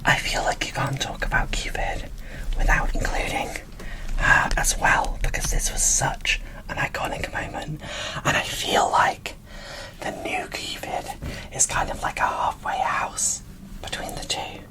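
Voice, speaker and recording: A woman's voice: a little slow, low-quality recording. Whispering woman, gushing ASMR host. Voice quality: soft, breathy unvoiced whisper. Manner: gushing, enthusiastic. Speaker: host of an ASMR doll unboxing channel. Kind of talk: whispered ASMR show-and-tell.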